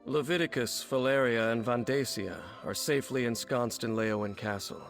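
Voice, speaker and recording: An actor plays a sober male commander in a video game, mid-pitched and formal. He briefs his operatives in measured, serious sentences with a dutiful air, with music underneath. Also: natural voice. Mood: grave, concerned. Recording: game dialogue over background music.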